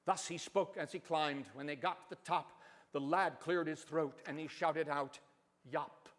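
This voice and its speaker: A man with a fairly high voice presents and reads on stage. His delivery is theatrical, deliberate and expressive, and long hall reverb trails behind it. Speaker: professor giving a dramatic reading.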